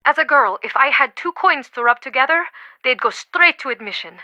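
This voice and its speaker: A woman's voice: sits high, lively intonation, normal speed, sharp tone, no room sound, highs cut off, heavily acted. An actress playing an earnest, devoted and easily affronted woman museum curator in a video game, with dramatic, emphatic delivery. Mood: wounded, heartfelt.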